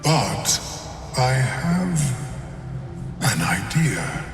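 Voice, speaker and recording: Mind flayer for a game: unhurried, polite, clinical intellectual monster, cavernous echo, faint music behind. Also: male voice, mid-range voice, sing-song. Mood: fascinated, reflective. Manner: formal, measured, clinical. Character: calm scholarly male mind flayer, detached, politely clinical. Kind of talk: video game dialogue acting. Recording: echoing hall with long reverb, faint music.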